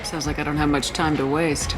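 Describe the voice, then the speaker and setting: A woman's voice: low voice, level tone, brisk, lightly acted. An actress playing a tough, no-nonsense female space commander in a video game, speaking in a terse, cool, confident way. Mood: businesslike. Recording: loud music behind the voice. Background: loud music.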